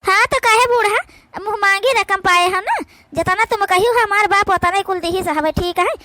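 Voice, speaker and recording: Woman acting a shrill-high, bossy young daughter-in-law, Awadhi accent. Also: moderate pace, sharp tone, dry recording, clean studio sound. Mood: haughty, scolding. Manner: imperious, scolding. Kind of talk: comedy cartoon dialogue.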